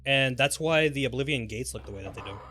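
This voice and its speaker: A man's voice: mid-range voice, fast talker, sharp tone. A man chatting casually and informally on a live gaming stream, speculating in a rambling way. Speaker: game livestreamer. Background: background music.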